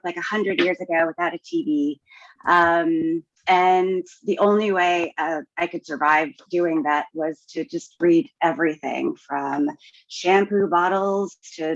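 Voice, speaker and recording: Lowish-voiced woman on dry, dull audio. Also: no room sound. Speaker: podcast guest. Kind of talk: casual self-introduction.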